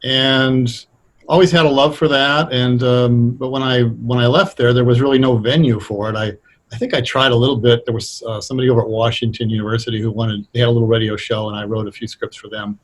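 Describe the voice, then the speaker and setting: A middle-aged or older American man explaining things conversationally as an interview guest, thoughtful and halting as he picks his words. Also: medium pitch, flat delivery. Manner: hesitant, thoughtful, halting delivery. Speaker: interview guest, radio playwright.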